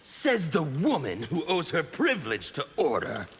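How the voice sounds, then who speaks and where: A man voicing a fanatical anarchist warrior in a fighting game, with a harsh gravelly rasp and a theatrical, taunting, defiant delivery. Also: a little high, wildly swooping pitch, measured pace, heavily acted. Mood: preaching, fierce. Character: fanatical male anarchist warrior preaching chaos and freedom. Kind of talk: fighting game character acting. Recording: reverberant hall, background noise.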